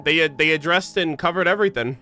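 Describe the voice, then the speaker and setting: A woman's voice: low voice, a little fast, sharp tone. A young woman giving casual, exclamatory and slangy live commentary as a game streamer on a close mic. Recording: game music underneath.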